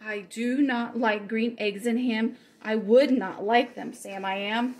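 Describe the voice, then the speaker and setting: A woman preschool teacher reading a children's storybook aloud and chatting to her young audience in a playful, exaggerated, expressive way. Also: a little high, a little slow. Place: home kitchen, indoors.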